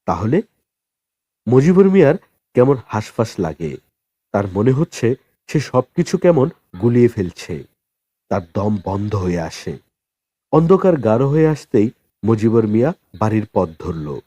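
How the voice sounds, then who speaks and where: Male storyteller, mid-pitched, mellow warm tone, lively intonation, scripted novel narration, deliberate pauses. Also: dry recording. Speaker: audiobook narrator.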